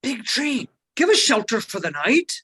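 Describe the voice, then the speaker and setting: A woman playing an earnest, anxious but brave hobbit servant in a script table read, pleading and excitable. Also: fairly high pitch, normal speed, husky, full character voice. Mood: soothing.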